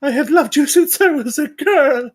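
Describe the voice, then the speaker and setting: High-pitched actress as a young wife, defiant, heartbroken, emotional, insistent, dramatic table read. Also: female voice, expressive, moderate pace. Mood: hurt, angry.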